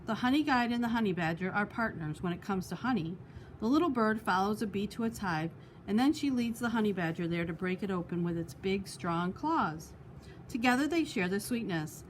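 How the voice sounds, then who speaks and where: A woman's voice: mid-range voice, expressive, a little fast, slight background noise, rough recording. A woman reading a children's picture book aloud expressively, as a storytime host. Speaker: storytime reader.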